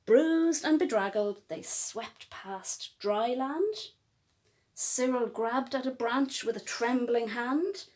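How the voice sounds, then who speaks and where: Female children's storyteller: high voice, unhurried pace, dramatic emphasis on rhyming verse. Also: darkish tone. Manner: dramatic, emphatic, with drawn-out words and pauses. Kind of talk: expressive picture-book storytelling in rhyme.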